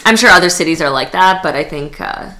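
A woman's voice: low voice, animated, a little fast, sharp tone. A young woman from Poland, a podcast guest talking candidly and chattily, with lots of fillers and restarts. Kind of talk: casual interview chat.

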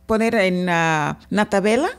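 A woman's voice: medium pitch, moderate pace. A woman from Brazil, a native Portuguese speaker, talking as an interview guest in Spanish, friendly and sometimes searching for words.

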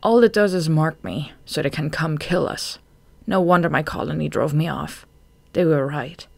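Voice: mid-range voice, normal speed, vocal fry, no room sound, close to an everyday voice. Young female bat for a storybook acted by a narrator: practical, distrustful, savage under dull mutters, dry close sound. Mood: bitter, savage.

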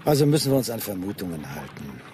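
A man's voice: fairly low pitch, very animated, quick pace, background noise. An actor playing a musing, inquisitive senior police inspector in a radio drama, questioning his subordinate. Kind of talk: German radio drama acting. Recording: faint vehicle ambience behind. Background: faint moving-vehicle sounds.

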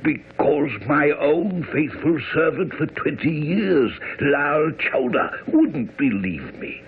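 A man's voice: fairly high pitch, very animated, slow pace, vocal fry, slightly rough, heavily acted. An actor playing a frantic, dying old father confessing a secret in a radio drama. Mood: urgent, weakening. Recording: muffled, faintly noisy old radio broadcast.

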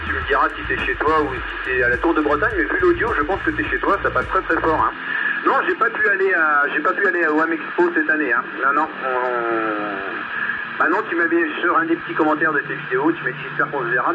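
Guy chatting on ham radio, muffled and really noisy, slightly high voice. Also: fast talker. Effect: two-way radio. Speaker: amateur radio operator. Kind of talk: casual radio chat. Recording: dull, very noisy radio transmission.

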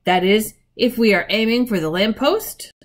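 A woman's voice: mid-range voice, moderate pace, faint music behind, voice pushed up, close to an everyday voice. A woman voicing a sulky, spiteful younger schoolboy in a storybook, grumbling in old-fashioned phrases. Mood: casual.

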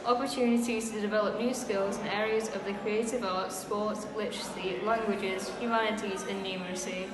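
A woman's voice: mid-range voice, fairly even intonation, brisk, hardly any pauses, a crowd in the background. A teenage girl reading a prayer aloud. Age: teens. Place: indoor school room. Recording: echoey reverberant room, background noise.

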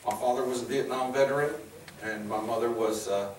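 A man's voice: medium pitch, steady tone, a little fast. A man in his forties from Chicago, with a Chicago accent, sharing his personal testimony to a church crowd in a plainspoken, earnest way. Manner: casual, plainspoken, earnest, folksy. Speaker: guest speaker sharing testimony. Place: large hall with stage, indoors. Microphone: handheld or lapel mic, filmed by a far camera. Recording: roomy hall, distant mic, noisy.

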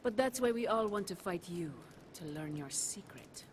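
An actress playing a proud, weary, philosophical woman assassin in a video game, speaking gravely and formally. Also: mid-range voice, expressive, normal speed, close to an everyday voice. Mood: earnest, weary. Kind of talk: dramatic video game cutscene acting. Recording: game audio with clear background noise.

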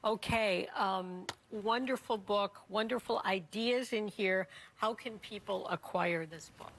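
A woman's voice: mid-range voice, measured pace, a bit bright, low-quality recording. A woman news anchor interviewing guests in a TV studio, asking friendly leading questions into a lapel mic.

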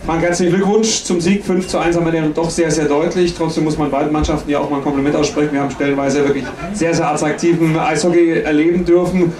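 Male press conference host, thirties, slightly high voice, very roomy sound. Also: flat delivery, fast, few pauses, a crowd in the background. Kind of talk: hosting a sports press conference. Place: indoor press conference room. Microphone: handheld microphone. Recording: echoey room.

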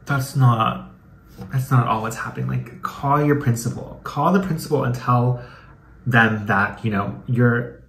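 A man's voice: medium pitch, measured pace, fairly bright tone, reverberant. A man doing a casual, comedic dramatic reading with commentary, in a sassy, campy, slangy way with some swearing. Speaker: YouTube host.

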